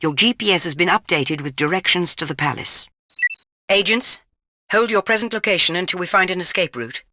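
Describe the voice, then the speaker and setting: A woman's voice: lowish voice, brisk, sharp tone, no room sound, clean studio sound. A woman playing a stern British spy chief, giving clipped, formal orders as video game mission briefings. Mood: stern, composed.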